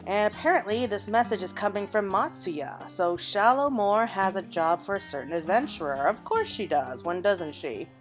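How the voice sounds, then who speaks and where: A woman's voice: medium pitch, animated, moderate pace, hardly any pauses. A woman giving casual let's-play commentary, chatting and musing aloud to her viewers as the host. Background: game music.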